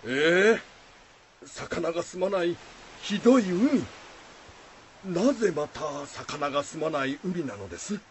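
An actor playing a kindly, composed travelling Buddhist monk in a folktale radio drama, speaking politely and formally. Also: male voice, on the high side, big pitch swings. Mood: concerned. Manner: polite, deliberate, formal speech. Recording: faint background noise.

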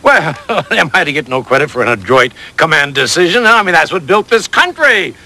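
A man's voice: on the high side, sing-song, brisk, bright, forceful tone, heavily acted. An older man playing a playful, rambling grandpa in a sitcom, with jokey mock-pompous talk. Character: playful old grandpa, goofy and romantic. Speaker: grandfather figure, newly engaged. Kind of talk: sitcom acting.

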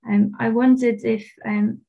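A woman moderating an online panel, speaking in a measured, gently encouraging way. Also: a little high, flat delivery, creaky. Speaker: webinar moderator. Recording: dull lo-fi video call audio.

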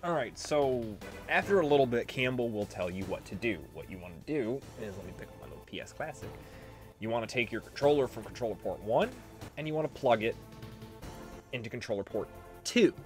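A man's voice: medium pitch, expressive, a little fast, over music. A man giving casual, informal let's play commentary, explaining things as he plays. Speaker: gaming YouTuber.

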